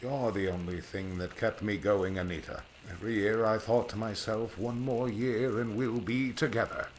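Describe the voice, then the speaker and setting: A man's voice: lowish voice, steady tone, moderate pace, slight background noise, lightly acted. A man playing a proud, curt aviator in old-time radio style, with formal, old-fashioned diction. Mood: tender, firm. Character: proud male aviator home from three lost years, curt, formal. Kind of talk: 1940s radio acting.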